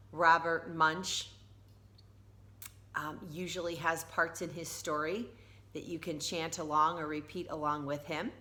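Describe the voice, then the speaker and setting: Female primary teacher for storybook read-aloud: bright, measured pace, expressive, engages young listeners with questions. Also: sits low. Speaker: elementary teacher reading aloud.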